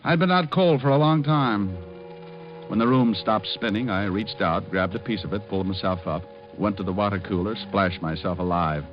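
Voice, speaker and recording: Actor as deep-voiced wisecracking radio private eye. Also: male voice, normal speed, over music, natural voice. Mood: weary narrating. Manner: dry, flippant wisecracks. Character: wisecracking, unflappable hard-boiled private detective. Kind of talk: 1940s-50s radio detective acting and noir narration. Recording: muffled vintage radio recording.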